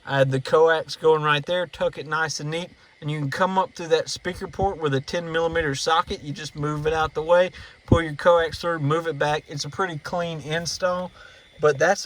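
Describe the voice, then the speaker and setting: Higher-pitched man giving a casual walkthrough. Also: steady tone, moderate pace, a bit bright. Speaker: radio hobbyist presenting an install walkthrough. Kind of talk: casual hands-on demonstration.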